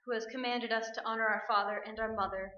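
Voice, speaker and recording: A woman's voice: on the high side, steady tone, quick pace, close to an everyday voice. A woman playing an earnest, easily frightened sister with a scolding manner in a staged radio play. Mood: solemn. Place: stage, live performance. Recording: roomy, muffled, noisy stage recording.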